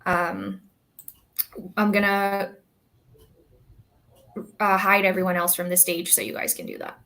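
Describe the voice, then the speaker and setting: A woman's voice: medium pitch, level tone, a little slow, gravelly, sharp tone. A woman hosting an online student orientation session as a graduate assistant, speaking casually with frequent "um"s. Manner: casual, filler-heavy, friendly organizer. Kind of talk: hosting a video call.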